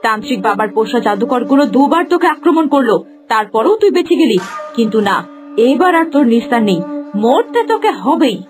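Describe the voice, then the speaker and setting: A woman's voice: a little high, big pitch swings, fast talker. A woman playing a spiteful, murderous mother who speaks with venom in a fairy-tale cartoon. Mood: spiteful, threatening. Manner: venomous, threatening. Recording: voice under loud background music.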